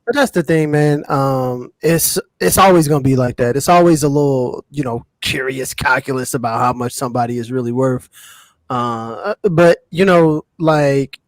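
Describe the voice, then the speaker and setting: A man's voice: mid-range voice, moderate pace, no echo. Laid-back man in a dry recording. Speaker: podcast co-host. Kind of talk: casual chat.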